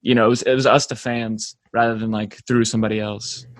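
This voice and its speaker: A man's voice: lowish voice, little pitch movement, a little fast, no echo. A young American man chatting casually as an interview guest, joking and acting out little exchanges as he tells stories. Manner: joking, tells stories in quoted mock dialogue. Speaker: band member, interview guest. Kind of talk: casual chat.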